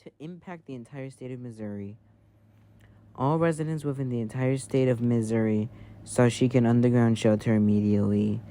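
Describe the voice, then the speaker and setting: A man's voice: medium pitch, steady tone, moderate pace, warm tone, close to an everyday voice. A man playing a flustered, easily panicked emergency newsreader in an amateur alert parody, whose formal announcements lapse into rambling. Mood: formal, halting. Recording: noisy amateur home recording.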